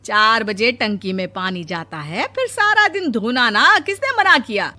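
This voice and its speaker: A woman voicing a proud, sharp-tongued middle-aged mother-in-law in a cartoon, with a scolding, haughty way of talking. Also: a little high, lively intonation, unhurried, heavily acted.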